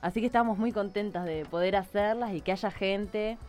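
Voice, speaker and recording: A woman's voice: mid-range voice, normal speed, a little mellow, soft background music. A woman with an Argentine accent chatting casually as a guest in a radio interview. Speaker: radio guest from a theatre group. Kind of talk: casual live interview chat.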